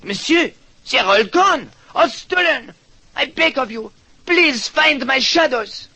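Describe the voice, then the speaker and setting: An actor playing a vain, florid little artist with a French accent in an old radio drama. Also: male voice, very high-pitched, expressive, slow pace, pushed and bright, heavily acted. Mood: distressed. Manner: florid, formal, self-important. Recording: noisy vintage radio recording.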